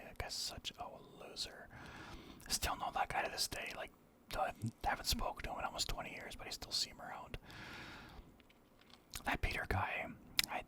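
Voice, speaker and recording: Whispering man telling old stories. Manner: whispering throughout; rambling, swears casually. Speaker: storyteller. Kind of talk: whispered storytelling, casual.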